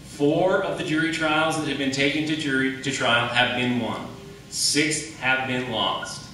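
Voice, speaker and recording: A man's voice: medium pitch, steady tone, measured pace, sharp tone. A man giving an emphatic political debate speech as a candidate, driving points home with repetition and rhetorical questions. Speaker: candidate for county attorney. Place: large echoey room at a public debate. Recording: echoey room, distant mic, faint noise.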